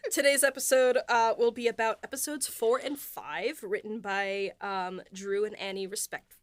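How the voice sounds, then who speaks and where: A woman's voice: mid-range voice, moderate pace, no room sound. A woman hosting a behind-the-scenes podcast in a loose, chatty way with plenty of fillers. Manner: chatty, many fillers and light laughs. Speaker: podcast host and writer. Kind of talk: casual podcast chat.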